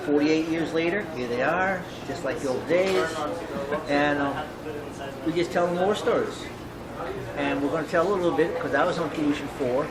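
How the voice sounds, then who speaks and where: High-voiced man vlogging at an event. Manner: upbeat, self-promoting, chatty. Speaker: author and videomaker. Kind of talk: casual vlog narration to camera. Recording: outdoor camera audio with background noise.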